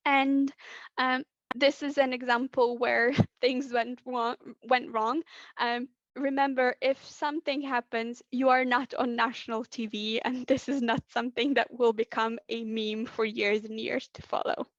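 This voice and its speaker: A woman giving an online training session, losing her voice, speaking deliberately and drawing out key words. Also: on the high side, flat delivery, dry recording, muffled recording. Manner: deliberate, drawn-out letter by letter. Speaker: webinar presenter. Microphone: computer mic on a video call.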